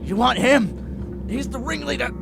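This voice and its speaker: A man playing a rough, cowardly small-time crook with a gravelly voice in a fan radio drama. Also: extremely high pitch, a little slow, very noisy, exaggerated voice. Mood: desperate. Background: loud music and effects.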